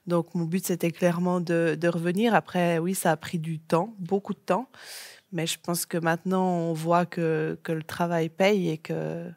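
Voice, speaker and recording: Une jeune femme invitée sur un plateau de télévision, qui répond simplement et de façon détendue aux questions, avec quelques hésitations. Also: female voice, lowish voice, normal speed, no room sound, clean studio sound. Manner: relaxed, short plain answers with fillers. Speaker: guest athlete. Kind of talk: casual TV interview answers. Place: TV studio set.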